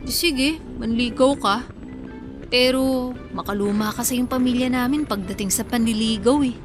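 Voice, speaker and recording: A woman's voice: a little high, lightly acted. Actress doing tough tricycle driver girl, blunt banter, radio drama, music behind her. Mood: guarded, earnest. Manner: brisk, bantering, plain-spoken. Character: tough, frank, hardworking female tricycle driver, guarded in love.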